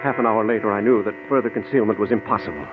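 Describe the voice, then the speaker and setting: A man's voice: fairly low pitch, racing, loud music behind the voice, natural voice. An actor playing an anxious, formal man protecting his fugitive brother, in theatrical 1940s radio style on a tinny recording. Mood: tense recounting. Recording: scratchy, tinny 1940s radio broadcast, hissy.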